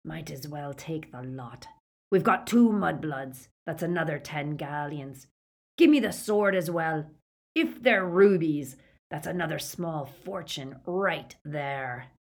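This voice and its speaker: A woman voicing a brutal, greedy male werewolf gang leader who growls, rasps and snarls his lines. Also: low-pitched, animated, slow pace, heavily acted. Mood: greedy commanding. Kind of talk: audiobook character voice.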